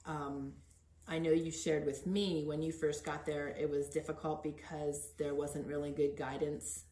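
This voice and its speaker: Low voiced lady podcast host, chatty interview, gently encouraging, echoey room. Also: little pitch movement, measured pace. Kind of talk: informal interview. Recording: roomy echo.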